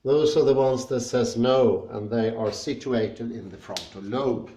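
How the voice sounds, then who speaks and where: A man with a Swedish accent giving a spoken lecture, thoughtful and pausing often with fillers. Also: medium pitch, mellow. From Sweden. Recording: echoey room, mic at a distance.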